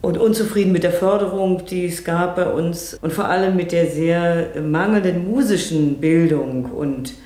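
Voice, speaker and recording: A woman's voice: fairly low pitch, moderate pace, hardly any pauses, warm tone, echoey room. A middle-aged woman from East Germany explaining her work thoughtfully, as in a documentary interview. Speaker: founder of an inclusive theater group.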